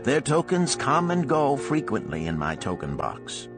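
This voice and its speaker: A man's voice: mid-range voice, wildly swooping pitch, measured pace, over music, voice pushed up. A man voicing a shrewd older gold lender in a storybook, speaking in an archaic, emphatic, declamatory way. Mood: firm, confiding.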